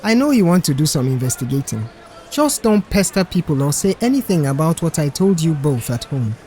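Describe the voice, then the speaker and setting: A man's voice: a little high, sing-song, brisk, mellow, a little hiss or hum. A man voicing a serious, wary young male mechanic in an audiobook, firmly cautioning as a big brother. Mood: stern, wary. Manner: firm, cautioning. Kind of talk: audiobook character dialogue.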